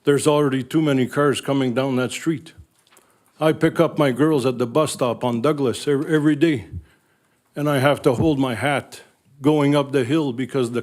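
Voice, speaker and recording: An older man speaks with a thick French Canadian accent, his first language being French. He addresses council as a local resident, earnest, emotional and blunt, his voice sitting at a middle pitch for a man. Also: steady tone, moderate pace, darkish tone. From Northern Ontario, Canada. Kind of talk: public comment at a council meeting.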